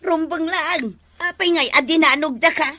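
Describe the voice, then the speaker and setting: A woman's voice: sits high, normal speed, sharp tone, no echo. An actress with an Ilocano accent playing a meddling, comically dramatic village woman who exclaims in a radio comedy drama. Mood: goading. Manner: exclaiming, egging on.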